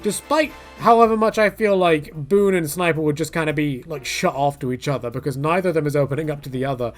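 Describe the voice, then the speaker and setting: A man's voice: a little high, fast talker, soft background music. A man giving casual, rambling opinion commentary in a jokey, conversational manner, often slipping into mock quotes. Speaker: video creator giving commentary.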